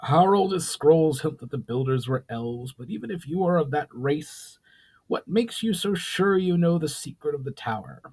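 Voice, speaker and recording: A man's voice: fairly high pitch, animated, moderate pace, darkish tone, slightly rough, pitched above the performer's own voice, poor audio. A man voicing a stern, commanding goblin ruler with a nasal voice and formal, authoritative speech in a storybook reading. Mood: suspicious.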